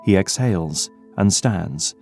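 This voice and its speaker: A man's voice: sits low, animated, slow, vocal fry, a little mellow, faint music behind. A man reading scripted dramatic narration as the narrator of an audio drama.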